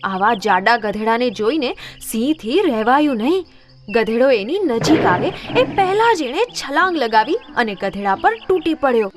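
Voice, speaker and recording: A woman's voice: a little high, very animated, normal speed. A woman narrating scripted children's stories as a storyteller. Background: background music.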